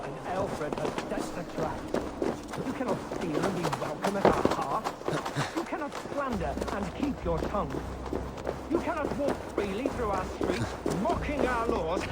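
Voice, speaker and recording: High-pitched, sanctimonious lawman orator. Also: very noisy. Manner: oratorical, insistent. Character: sanctimonious menacing male lawman addressing townsfolk. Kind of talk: dramatic game voice acting.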